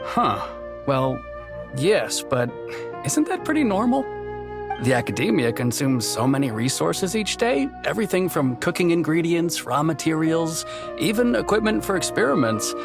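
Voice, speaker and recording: Offhand game worker: very low, gravelly, helpful but unsure, music clearly behind. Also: female voice, very animated, normal speed, full character voice. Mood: puzzled, offhand. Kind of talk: video game voice acting.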